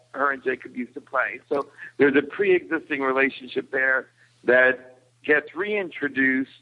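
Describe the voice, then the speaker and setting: Male podcast guest, casual halting explainer of a film, fry in the voice, thin telephone sound. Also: mid-range voice. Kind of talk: casual film recap discussion. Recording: tinny phone-like line.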